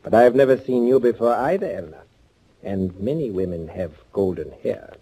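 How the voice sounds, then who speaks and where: An actor playing a bitter young underground avenger in a 1940s radio thriller, sardonic and deliberate, with a husky voice. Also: male voice, lowish voice, expressive, mellow. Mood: sardonic, guarded. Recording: muffled 1940s radio recording.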